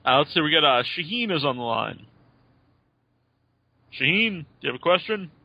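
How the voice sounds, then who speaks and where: A man's voice: a little high, very animated, moderate pace, vocal fry, no room sound, highs cut off. A man hosts a call-in radio show and speaks hesitantly, with fillers.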